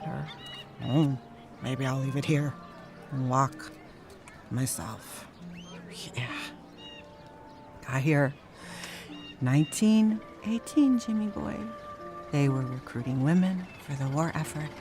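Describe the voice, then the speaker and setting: A sharp-tongued, hard-drinking wife in a period radio drama. She is salty and sardonic, with a bossy edge to her delivery. Music plays clearly behind her. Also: extremely low pitch.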